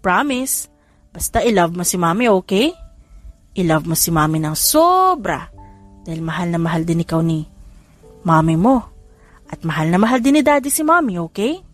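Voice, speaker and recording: A woman voicing a tender, devoted father, speaking softly and reassuringly. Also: lowish voice, very animated, normal speed, long pauses, dry recording, soft background music, pitched below the performer's own voice. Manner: soft, gentle, reassuring. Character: tender, reassuring adult man, devoted daddy.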